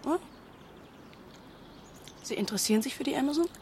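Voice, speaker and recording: Dry, probing female researcher. Higher register, natural radio drama delivery, clear background noise. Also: animated, a little fast, a little mellow. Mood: dry, wary. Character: cool, dryly teasing female neural researcher.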